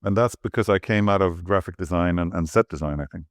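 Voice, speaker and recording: A man's voice: low-pitched, quick pace, no echo, clean studio sound. A middle-aged man from Sweden, a guest architect rambling and thinking aloud as he talks. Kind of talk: relaxed conversational interview.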